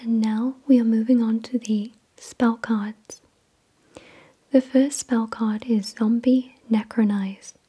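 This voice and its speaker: A woman hosts ASMR in a very breathy, unvoiced whisper. Her voice is soft and dark in tone, sitting fairly high for a woman. She reads slowly and deliberately, in a soothing manner. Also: fairly even intonation. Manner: slow, deliberate, soothing reading. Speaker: ASMR video host. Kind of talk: whispered ASMR card reading.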